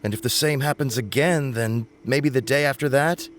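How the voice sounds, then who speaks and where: A man's voice: medium pitch, moderate pace, slight background noise, lightly acted. A man playing an earnest, devout and uncertain shrine visitor in a video game, musing politely and hesitantly. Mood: unsure.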